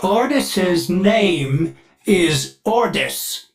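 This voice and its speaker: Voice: mid-range voice, expressive, extremely slow, music in the background. An actor voicing a prim, loyal and defiant artificial intelligence servant in a video game, with formal speech, glitchy stutters and synthetic processing. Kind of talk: theatrical scripted video game dialogue.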